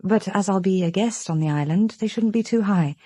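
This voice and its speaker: Woman playing a British private detective, reserved, businesslike, precise questions, medium pitch, dry studio sound. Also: brisk, mellow, no echo, lightly acted. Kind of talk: radio drama acting.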